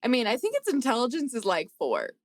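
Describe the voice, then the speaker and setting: A woman's voice: fairly high pitch, wildly swooping pitch, fast, no room sound, studio quality. A woman on a casual discussion panel, thinking aloud somewhat tentatively as she gives her view. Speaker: podcast panelist.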